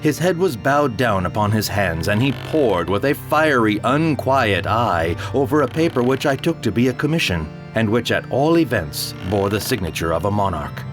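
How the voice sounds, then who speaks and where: Man doing dramatic storytelling, grave and theatrical, loud music under him. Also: on the low side, very animated, moderate pace, few pauses. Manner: grave, theatrical, rising to frantic cries. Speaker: narrator. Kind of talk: dramatic first-person audio drama narration.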